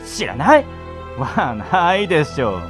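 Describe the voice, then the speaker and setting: A masked radio-drama interrogator, slow and theatrical. The voice sits high and swings widely, every phrase dripping with mock courtesy. Faint music plays behind. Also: male voice, very animated. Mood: teasing, menacing. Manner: drawn-out, mock-courteous delivery. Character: masked male villain interrogator, mockingly polite and theatrical. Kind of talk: amateur radio drama acting.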